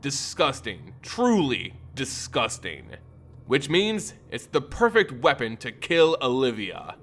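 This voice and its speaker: A man hosting a lore channel, reading a scripted story in a theatrical, expressive way. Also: medium pitch, takes it slowly, creaky. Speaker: host and narrator of a lore channel. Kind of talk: dramatic scripted story narration. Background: music under the voice.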